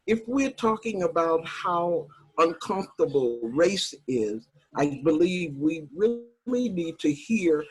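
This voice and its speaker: A woman in her eighties from Harlem, New York, reflecting slowly on her life during a video call workshop, with soft laughs. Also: sits low, slightly rough, rough recording. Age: 80s. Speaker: workshop participant.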